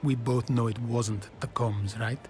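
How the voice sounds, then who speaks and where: A man's voice: medium pitch, steady tone, a little slow, a little mellow, faint music behind, lightly acted. An actor playing a suspicious, pressured male racing team principal in a video game cutscene. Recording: clear background ambience, vehicle noise.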